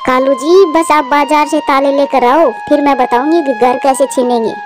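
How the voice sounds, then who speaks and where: A woman voicing a scheming, sharp-tongued crow wife in a Hindi cartoon. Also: sits high, fairly even intonation, moderate pace, music in the background, lightly acted.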